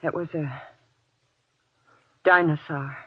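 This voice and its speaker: A husky, fairly high voice for an anxious, devoted wife in radio horror. The delivery is hushed, halting and earnest. The sound is dull, like an old muffled recording. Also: male voice, steady tone. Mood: insistent. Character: anxious, devoted woman trapped underground with her husband.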